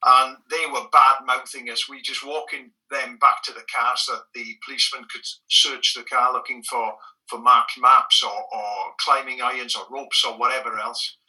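A man's voice: mid-range voice, normal speed, far from the mic, rough recording. A middle-aged Welsh man, a native Welsh speaker, telling frank anecdotes with lots of restarts as a guest in a conversational interview. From Wales. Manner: frank, animated storyteller with frequent restarts. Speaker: guest, former bird protection officer.

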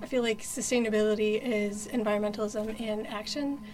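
A woman from New Hampshire in her thirties, giving reflective interview answers as a guest, pausing and restarting often, with dry self-deprecating humor. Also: medium pitch, fairly even intonation, background noise. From New Hampshire, USA. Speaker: sustainability outreach coordinator, guest.

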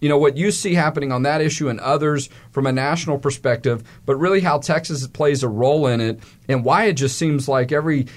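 A man's voice: on the high side, a little fast, few pauses. Chatty male talk show host. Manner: friendly, chatty, folksy. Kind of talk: casual studio interview. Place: radio studio. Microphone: studio mic.

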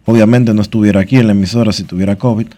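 A man's voice: lowish voice, steady tone, very fast, mellow. A man co-hosting a radio show, congested with flu, reading out figures in an informative way. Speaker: radio co-host. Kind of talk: casual radio talk.

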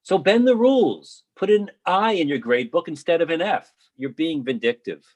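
A man's voice: on the high side, animated, normal speed, a little mellow, husky. A man reading the part of a blunt college colleague who probes and challenges, in a play. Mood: accusing. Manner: challenging, colloquial, probing. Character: blunt, accusing male college colleague, sympathetic to students. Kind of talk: readers theatre play reading.

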